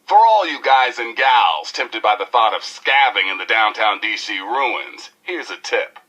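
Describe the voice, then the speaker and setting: Game radio DJ: American, cheeky rebel, hyped patter, low-ish voice with lively swoops. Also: male voice, measured pace, lo-fi sound, full character voice. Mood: wry, mock-serious. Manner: streetwise, playful, theatrical.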